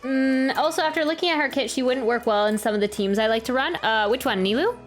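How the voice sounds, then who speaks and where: A woman's voice: sits high, fast talker. A woman game streamer chatting casually as she reacts, rambling and stammering while thinking aloud. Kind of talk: casual gameplay reaction chat. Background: faint game music.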